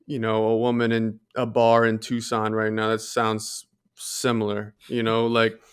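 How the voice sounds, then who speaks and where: A man co-hosting a podcast in casual discussion, thoughtful and measured as he reasons things through. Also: lowish voice, monotone, clear, resonant tone. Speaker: podcast co-host.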